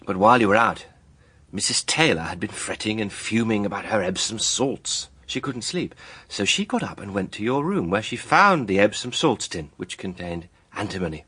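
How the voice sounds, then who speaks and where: An actor playing a self-assured, righteous accuser in a radio mystery drama, with emphatic, deliberate phrasing. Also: male voice, fairly low pitch, big pitch swings, sharp tone, husky, exaggerated voice. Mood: accusing, certain. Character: righteous, self-assured male accuser laying out a murder case.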